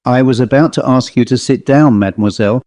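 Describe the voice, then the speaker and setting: A man voicing a gentle but firm mistress of a household who presses her servant to speak plainly. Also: medium pitch, fast, no room sound, clean studio sound, close to an everyday voice. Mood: grave. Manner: coaxing, then insisting on plain speech.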